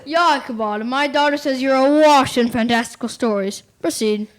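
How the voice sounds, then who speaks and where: Young female as a high-voiced village patriarch. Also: moderate pace, natural voice. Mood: jovial. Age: young teen. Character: Van Tassel, hearty well-to-do village father and host. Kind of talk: stage radio play acting.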